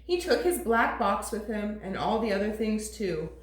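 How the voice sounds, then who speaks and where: A woman teacher reading a storybook aloud to toddlers in an expressive, sing-song way. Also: medium pitch, moderate pace, a little hiss or hum. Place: classroom. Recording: echoey room.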